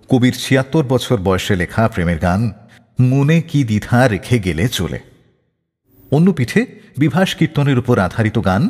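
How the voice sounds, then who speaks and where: A male radio presenter narrates a music show with sweeping, highly animated intonation. His voice has a slightly husky edge and sits in a long reverb, with soft music underneath.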